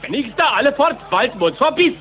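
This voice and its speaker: An actor playing a curt foreign trader in a cartoon, speaking clipped broken sentences, on lo-fi tape. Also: male voice, very high-pitched, big pitch swings, moderate pace. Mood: dismissive. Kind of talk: cartoon voice acting. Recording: tinny lo-fi cassette.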